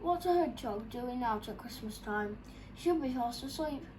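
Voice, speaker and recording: A gruff, kindly old-fashioned storybook badger, put on by a woman. He speaks emphatically, with clear noise in the recording. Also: fairly high pitch, expressive, moderate pace, lightly acted. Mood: surprised, kindly. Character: grumpy but kindly male badger.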